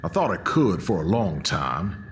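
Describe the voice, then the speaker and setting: An actor playing a weary, resigned protector in an audio drama, consoling others in a gentle, measured manner. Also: male voice, low-pitched, big pitch swings, soft background music. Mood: sorrowful, resigned.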